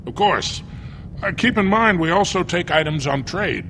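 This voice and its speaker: A man's voice: sits high, expressive, normal speed, pushed and bright, slight background noise, exaggerated voice. A man playing a flustered, indignant dwarf shopkeeper in a video game, blustering and defensive. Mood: grudging, wary. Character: flustered, indignant dwarf shopkeeper, adult male, anxious and grumbling.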